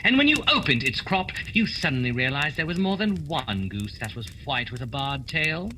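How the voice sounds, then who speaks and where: A woman playing a stern, dry-witted male detective in a radio play, measured and commanding. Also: rumbling low, sing-song, pushed and bright, slight background noise, full character voice. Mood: stern questioning. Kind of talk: holiday radio play acting.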